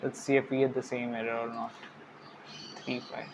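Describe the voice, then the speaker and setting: A young man, speaking quietly and thinking aloud while he works through a programming tutorial. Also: level tone, normal speed. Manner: quiet, low-key, thinking aloud with trailing mumbles. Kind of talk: casual screen-recorded coding tutorial. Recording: dull lo-fi mic with clear background hiss.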